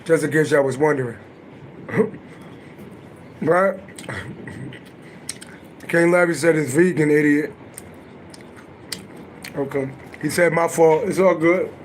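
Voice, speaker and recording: A middle-aged man with a naturally raspy voice, chatting loosely and profanely on a livestream and answering viewers' comments. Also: takes it slowly, long pauses, slight background noise, poor audio. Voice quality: deep voice. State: voice a little worn from singing. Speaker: livestream host and astrology reader. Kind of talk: casual livestream chat.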